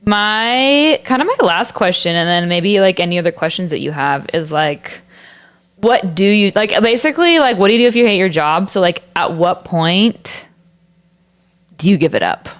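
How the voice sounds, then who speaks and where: A woman's voice: on the low side, animated, moderate pace. A young woman co-hosting a podcast in casual chat, talking loosely with lots of "like" and restarted sentences. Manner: filler-heavy, frequent "like", restarts mid-sentence. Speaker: podcast co-host.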